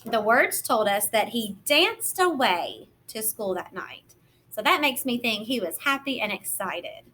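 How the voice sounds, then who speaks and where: A woman reading a picture book aloud as a kindergarten teacher, gentle and expressive, explaining simply to young children. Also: a little high, unhurried, slight background noise.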